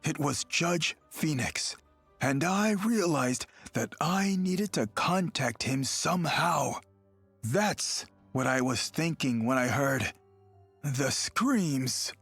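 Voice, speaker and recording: A man playing an earnest, wronged young fugitive who narrates his past in a measured, dramatic way for a mystery game. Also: fairly high pitch, animated, a bit bright, husky, no room sound, faint music behind, heavily acted. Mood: earnest, grave.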